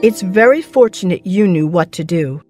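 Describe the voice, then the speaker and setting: A woman voicing a stern but indulgent older guardian, measured and matter-of-fact, in an animated children's story. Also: fairly low pitch, soft background music, close to an everyday voice. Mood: approving.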